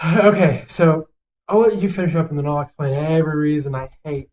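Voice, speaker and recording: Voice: mid-range voice, a little fast, a little mellow. A young adult podcast co-host giving opinions in casual banter, swearing freely and rambling emphatically.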